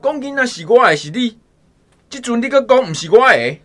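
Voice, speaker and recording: Defiant Taiwanese village rogue. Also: male voice, very high voice, big pitch swings, a little fast, pushed and bright, faint music behind, exaggerated voice. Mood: indignant.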